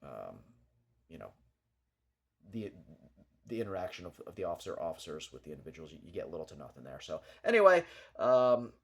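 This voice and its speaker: A man's voice: deep voice, huge pitch swings, brisk, gravelly, pauses often, husky. A man giving an unscripted, rambling local news commentary in a hesitant, matter-of-fact way, like a small-time vlogger talking through events.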